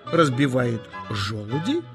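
A man narrating a scripted children's nature story as storyteller, with expressive, deliberate phrasing. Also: medium pitch, creaky, music in the background.

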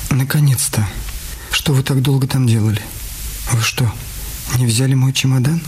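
A man's voice: medium pitch, fairly even intonation, moderate pace, darkish tone, slight background noise, natural voice. A man playing a naive, trusting young man on the run in a radio drama. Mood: impatient. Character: Daniel, naive young runaway man, trusting, easily disappointed.

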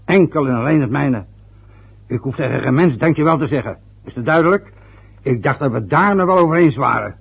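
A man's voice: mid-range voice, lively intonation, fairly quick, gravelly, husky. An actor in a radio play voicing a domineering, sarcastic middle-aged father who mocks and lords it over his family. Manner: mocking, overbearing, sarcastic. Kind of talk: radio drama acting. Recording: dull lo-fi radio recording.

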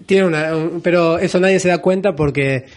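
A man's voice: a little high, a little fast. A man from Argentina with an Argentine accent, a guest who explains things in a casual conversation with frequent hesitant restarts.

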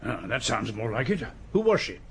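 A man's voice: medium pitch, sing-song, moderate pace, gravelly, a bit bright, slight background noise. An actor playing a courteous, methodical English police detective in a radio drama, polite and probing as he questions. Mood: intrigued.

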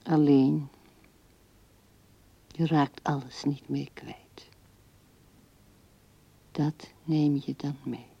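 An actress playing an old widow musing tenderly and haltingly at her husband's grave in a radio drama, her soft voice often dropping to a hush. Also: low-pitched, flat delivery, creaky, slightly rough, dry recording, exaggerated voice. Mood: musing, wistful. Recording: dull old radio recording.

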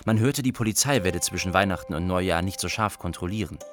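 A man's voice: deep voice, fast, soft background music, close to an everyday voice. A man playing an observant, matter-of-fact young refugee who narrates a radio play.

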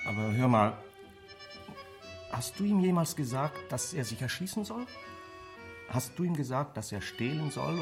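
A man's voice: medium pitch, natural voice. An actor playing a mocking, talkative man in a radio play, needling his friend with theatrical questions. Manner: sardonic, theatrical, rhetorical questioning. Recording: music playing behind the voice. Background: music.

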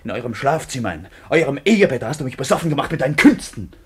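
An actor as a volatile, tormented man, wildly swooping pitch. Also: big pitch swings, racing, close to an everyday voice. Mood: aggrieved fury. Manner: agitated, intense, swinging from muttering to outbursts. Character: volatile, tormented murderer and resentful lover. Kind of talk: German radio play acting.

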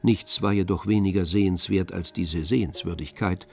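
A man narrating a literary audio drama in measured, polished storytelling phrases. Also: deep voice, fairly even intonation, gravelly, a little mellow.

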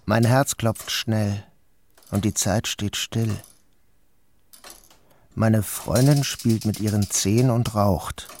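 A man narrating a literary audio drama in the first person, calm, measured and introspective. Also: fairly low pitch, a little hiss or hum. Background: music.

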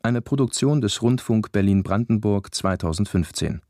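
A man reading scripted narration and credits for a radio drama in a sober, measured way. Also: fairly low pitch, expressive, mellow, dry recording, clean studio sound. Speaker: announcer.

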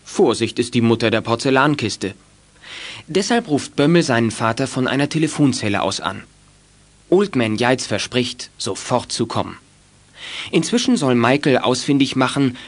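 A man's voice: mid-range voice, expressive, moderate pace. A man narrating a scripted children's detective audio drama as its storyteller. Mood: measured, suspenseful.

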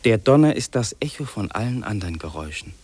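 An actor playing a lonely, fearful city man in a 1950s German radio drama, speaking in solemn, deliberate, incantatory phrases. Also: lowish voice, big pitch swings, darkish tone, husky. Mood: ominous. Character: lonely, fearful, brooding city man listing what he hears. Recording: old radio play on cassette tape.

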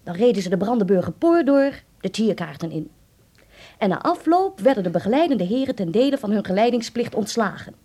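A woman's voice: medium pitch, warm tone, natural voice. An actress playing a nostalgic, chatty German woman from a Berlin hotel family in a radio drama, telling stories with German phrases mixed in. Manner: storytelling, peppers speech with German phrases.

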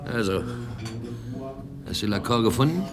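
A man's voice: lowish voice, animated, normal speed, over music. Brusque, impatient newspaper man. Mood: impatient, probing. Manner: brusque, demanding. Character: impatient, brusque newspaper reporter, detective's pal. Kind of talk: German radio crime drama dialogue.

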